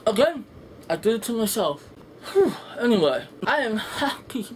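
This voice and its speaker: A woman's voice: medium pitch, slight background noise. A woman giving an unscripted, ranting movie review in an exasperated, emphatic, sweary way. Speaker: film reviewer and host.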